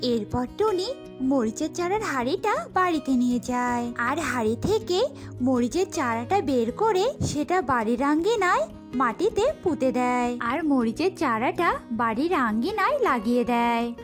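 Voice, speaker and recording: A woman's voice: high-pitched, very animated, measured pace. A woman narrating a scripted children's cartoon story, with music behind her.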